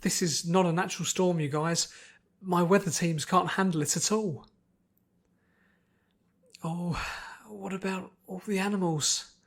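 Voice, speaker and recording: A man voicing anxious, excitable ponies in a storybook reading, speaking with worry and urgency. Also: high voice, unhurried, voice pushed up. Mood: worried. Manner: urgent, worried. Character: anxious pony friends facing a storm, excitable.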